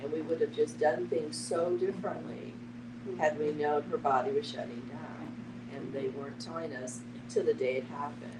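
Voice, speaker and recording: A woman's voice: low voice, steady tone, normal speed. A woman giving a frank, critical personal account as a participant in a group discussion. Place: echoey room, away from the microphone. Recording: very noisy, echoey, distant microphone.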